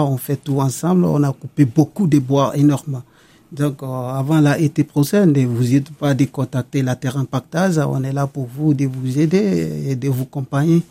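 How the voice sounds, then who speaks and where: A man's voice: mid-range voice, vocal fry, mellow. A man speaking as a guest on a radio show, hesitant and repetitive, with many fillers. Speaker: guest, new worker at a farm association. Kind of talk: radio interview, casual. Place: radio studio. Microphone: studio microphone.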